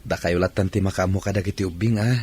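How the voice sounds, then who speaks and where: A man's voice: low-pitched, steady tone, fast talker, a little mellow, husky. A man acting a generous, dutiful provider in an Ilocano radio drama, with a Filipino Ilocano accent. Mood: generous, instructing.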